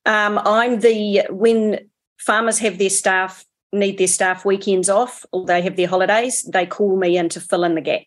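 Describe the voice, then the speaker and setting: A woman's voice: mid-range voice, steady tone, unhurried. A New woman, a farmer, answering interview questions in a plain, matter-of-fact way. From New Zealand. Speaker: guest, farmer.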